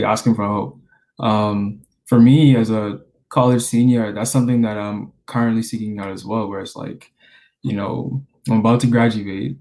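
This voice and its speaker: A college senior in his twenties from the Bahamas, hosting a podcast interview in a relaxed way with lots of fillers. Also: fairly low pitch. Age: 20s. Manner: relaxed, many fillers like you know and like.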